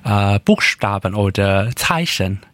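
A man's voice: on the low side, animated, takes it slowly, fairly bright tone, no echo. A man from Taiwan with a Taiwanese accent, a Hokkien native speaker answering interview questions in a hesitant way, with many fillers and restarts. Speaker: interview guest.

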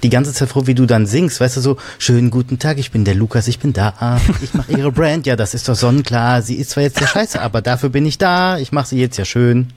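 A man's voice: medium pitch, fairly quick, few pauses, a little mellow. A man hosting a casual podcast conversation, speaking colloquially and candidly with a joking streak. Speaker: podcast host.

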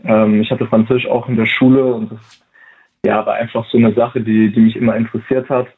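A man's voice: mid-range voice, level tone, a little fast, slight background noise. A man of twenty answering interview questions in a casual, hesitant way, with many fillers and restarts. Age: 20s. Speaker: interview guest, volunteer at a museum. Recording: tinny phone-like line.

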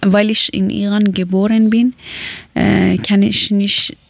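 A woman, chatting casually and often pausing to search for words. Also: medium pitch, flat delivery, creaky, dry recording. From Afghanistan. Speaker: conversation partner. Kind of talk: casual chat in learner German.

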